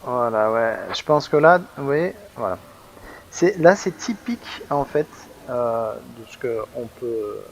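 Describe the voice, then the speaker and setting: Gravelly-voiced man rambling over gameplay. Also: medium pitch, slight background noise. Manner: rambling, hesitant, full of fillers and restarts. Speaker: let's play host. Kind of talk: casual gameplay commentary.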